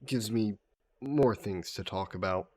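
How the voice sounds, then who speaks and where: A man voicing a vain sea monster who poses as a mannered gentleman in a roleplay audio drama, speaking in suave, formal phrases. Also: lowish voice, a little slow, no echo. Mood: playful, flirty. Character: flirty, vain sea monster posing as a gentleman traveler, turning menacing.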